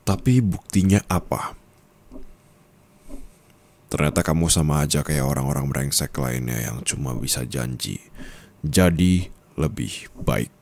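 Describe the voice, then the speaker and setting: A young man speaking an ASMR roleplay monologue as a disappointed boyfriend, low and intimate, scolding and at times rising to anger. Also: a little slow, long pauses, a little mellow, slight background noise.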